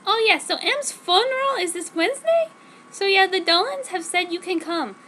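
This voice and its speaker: A woman's voice: very high voice, animated, normal speed, pushed and bright, pitched above the performer's own voice, exaggerated voice. A woman voicing a ditzy lovestruck teenage heroine in a breezy, exaggeratedly girlish way for a comic story reading. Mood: chirpy.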